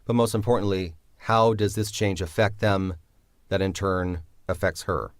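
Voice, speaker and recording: A man's voice: low-pitched, steady tone, moderate pace, dry recording, clean studio sound. A man who writes and created a drama series, explaining his story choices thoughtfully in an interview, with some filler sounds. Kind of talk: interview answer.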